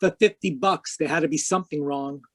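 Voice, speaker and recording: A man's voice: a little high, a little fast, fairly bright tone. A man playing a tough, wisecracking private investigator in a 1940s-style radio noir, terse, cynical and slangy. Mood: tough, pressing. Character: tough, wisecracking hardboiled private investigator narrating his case.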